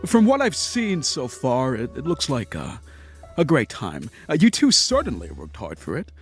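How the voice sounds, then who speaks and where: A man's voice: medium pitch, huge pitch swings, normal speed. A man acting a smug, boastful and crude rival talent scout in an audio drama, pompous and needling. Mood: smarmy. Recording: music playing behind the voice.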